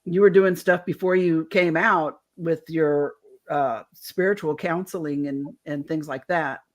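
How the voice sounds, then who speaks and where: A woman with a slightly low voice hosts a talk show. She puts questions to her guest in a casual, conversational style. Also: steady tone, measured pace. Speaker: radio show host, interviewer. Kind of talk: casual interview chat.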